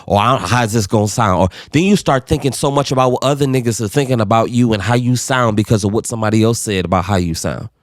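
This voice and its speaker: A man's voice: medium pitch, fast, hardly any pauses, no room sound, studio quality. A man co-hosting a podcast, talking earnestly and reflectively in casual conversation, swearing now and then. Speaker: podcast co-host.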